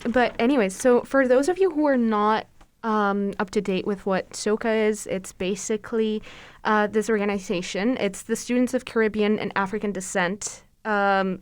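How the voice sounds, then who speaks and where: A woman's voice: mid-range voice, clear, resonant tone, no room sound. A young woman co-hosting a podcast, chatty and upbeat with frequent fillers. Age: young adult. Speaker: podcast co-host. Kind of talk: casual podcast interview.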